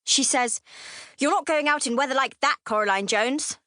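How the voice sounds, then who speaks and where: Bored young girl, audiobook drama. High voice, persistent, plaintive, matter-of-fact, very dry recording. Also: normal speed, pushed and bright, dry recording. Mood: bored, insistent. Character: bored, insistent young girl, curious explorer.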